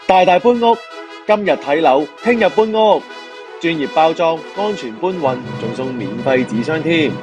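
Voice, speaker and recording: A man as salesy advert announcer over loud music. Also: fairly high pitch, animated, moderate pace, close to an everyday voice. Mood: upbeat, salesy. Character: upbeat, salesy moving-company advertising announcer. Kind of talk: radio advert parody. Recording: loud brassy music behind. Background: music with trumpet.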